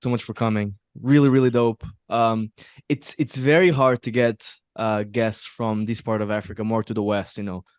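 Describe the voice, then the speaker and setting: A man's voice: fairly low pitch, fairly even intonation, normal speed, clean tone, no echo, studio quality. A man hosting a podcast in South America, chatting with enthusiasm and friendly interest. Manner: enthusiastic, says "awesome" and "dope". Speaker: podcast host. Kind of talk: casual conversation.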